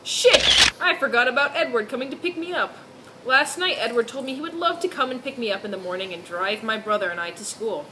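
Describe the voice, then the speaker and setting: A woman's voice: fairly high pitch, expressive, brisk, bright, forceful tone, a little hiss or hum, low-quality recording. A woman doing a mock-serious dramatic reading of fan fiction, dropping in amused asides.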